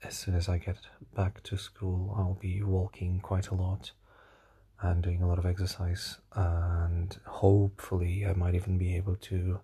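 A tired young man with a slightly hoarse voice, rambling hesitantly through a casual diary vlog with many fillers and restarts. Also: low-pitched, mellow. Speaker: vlogger.

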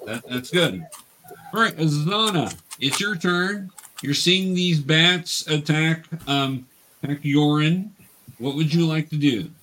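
A man's voice: a little high, takes it slowly, a bit bright, slight background noise, poor audio. Patient man guiding a tabletop game. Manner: patient, explanatory teaching. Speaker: game master.

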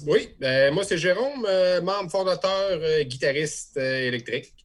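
A man, a band's guitarist, trading quick, teasing banter in an interview. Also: fairly high pitch, animated. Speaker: guitarist in a band. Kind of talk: joking interview chat.

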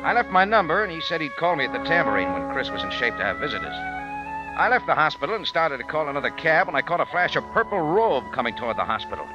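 A tough, wry hardboiled hero on a dull, band-limited vintage radio recording with music behind. He talks quickly in terse slang, in an animated voice. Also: a little high. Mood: brisk narrating. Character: tough, wry American-style hardboiled bar owner hero. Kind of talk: hardboiled radio drama narration and dialogue.

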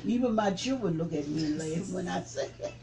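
A man in his seventies, a reverend, recalling the past in an interview with a preaching cadence that rises to forceful emphasis. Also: high voice, lively intonation, brisk, vocal fry. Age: 70s. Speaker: reverend, civil rights veteran. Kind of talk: interview reminiscence.

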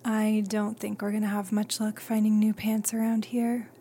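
A woman playing a caring, soft-spoken and hesitant young companion in a radio drama. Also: medium pitch, completely flat delivery, normal speed, slightly rough. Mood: gentle concern.

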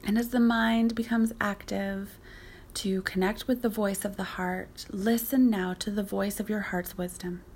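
Meditation teacher, female, mid-pitched. Breathy, near-whispered delivery, soothing and unhurried guided narration. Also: fairly even intonation. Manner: soothing, unhurried, gently instructive. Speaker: yoga nidra teacher.

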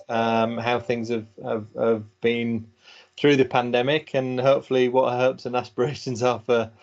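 Hesitant male podcast host, muffled. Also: medium pitch. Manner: hesitant, with many fillers and repeats. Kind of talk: podcast interview hosting.

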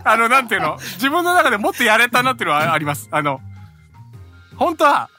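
A man's voice: very high voice, big pitch swings, fast talker, sharp tone, faint music behind. A man with a Kansai accent co-hosting a casual podcast, joking and laughing with his friends. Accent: Kansai Japanese. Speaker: podcast co-host. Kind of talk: casual chat.

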